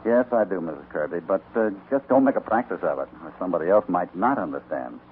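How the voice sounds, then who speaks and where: An actor playing a shrewd, calm cowboy hero in a 1950s radio western, unhurried and probing, with dry understatement. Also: male voice, deep voice. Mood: gently firm. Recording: tinny, noisy old radio transcription.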